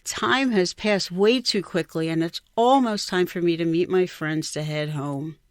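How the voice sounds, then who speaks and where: A woman giving an expressive scripted reading of a personal short story. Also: fairly low pitch, unhurried, no room sound, studio quality. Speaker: writer or reader of a short story.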